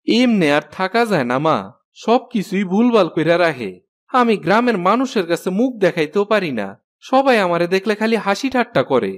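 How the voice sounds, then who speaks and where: A woman's voice: mid-range voice, big pitch swings, fairly quick, close to an everyday voice. A woman playing an exasperated village farmer husband in a cartoon, sarcastic and complaining, with a rural Bangladeshi accent. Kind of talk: cartoon voice acting.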